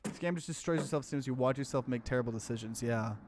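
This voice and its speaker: A man's voice: medium pitch, very fast, noisy background. A man hosting a let's play, reading visual novel narration aloud and adding casual commentary. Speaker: gaming let's play host.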